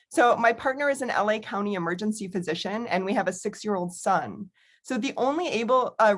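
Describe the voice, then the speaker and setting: A middle-aged woman with an American accent presents as an author over a video call. Her pitch is average for a woman. She follows prepared remarks but sounds personable and candid, with conversational asides. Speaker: author and academic speaker.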